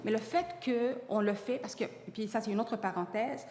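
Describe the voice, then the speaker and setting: A woman's voice: fairly high pitch, normal speed, mellow. A woman whose first language is Arabic, speaking as a panelist in a public discussion in an earnest way, often restarting her sentences. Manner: earnest, many restarts and fillers. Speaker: panelist on AI in education. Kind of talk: panel discussion answering audience. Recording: long hall reverb.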